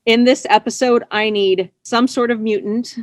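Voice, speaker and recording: A woman being interviewed, telling stories casually in a chatty, expressive and self-effacing way. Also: on the high side, normal speed. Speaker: interview guest, audio drama writer. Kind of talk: casual conversational storytelling.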